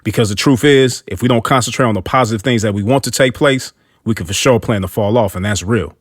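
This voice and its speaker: A man's voice: lowish voice, fast, no room sound, clean studio sound. A man narrating a scripted motivational story in an earnest way, with hip-hop street slang.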